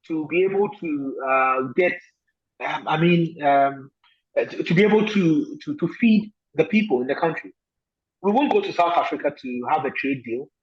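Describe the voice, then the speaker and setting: Man from Ghana, interview guest, fairly high pitch, hesitant, many fillers, muffled sound. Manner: thoughtful, pausing with many fillers. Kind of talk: interview answers.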